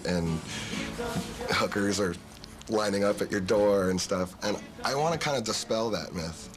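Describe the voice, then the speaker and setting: A man in his twenties, a band singer, talking frankly and bluntly in a confessional interview. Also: low voice. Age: 20s. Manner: frank, blunt, laughs through stories. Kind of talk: reality show confessional interview. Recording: music bed under the voice.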